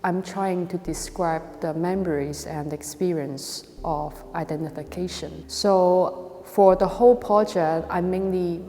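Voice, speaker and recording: Mellow woman, Hong Kong accent, reverberant hall. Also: on the low side, fairly even intonation, a little hiss or hum. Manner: hesitant, halting non-native English. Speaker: video and installation artist. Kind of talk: artist talk presenting an exhibition.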